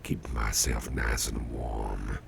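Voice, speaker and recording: An extremely low, heavily crackling voice of a sickly boss, acted by a man in an ASMR roleplay. He half-whispers slowly and tenderly, grateful and a little self-deprecating, with folksy warmth in his wording. There is clear noise behind him. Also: flat delivery, exaggerated voice. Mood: cosy, affectionate. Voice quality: gravelly, breathy near-whisper. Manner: slow, hesitant, folksy and tender. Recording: noticeable background noise, soft ambience.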